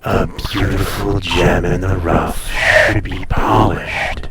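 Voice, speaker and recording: A man voicing an ancient, haughty, possessive dragon in an intimate audio roleplay, speaking formally and deliberately with a breathy, softly menacing, seductive delivery. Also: sits low, fairly even intonation, roomy sound, distant mic, a loud crowd. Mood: protective, commanding.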